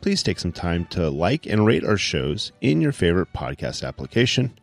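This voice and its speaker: Male announcer for podcast sign-off, low and creaky, scripted read over music. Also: sing-song, moderate pace.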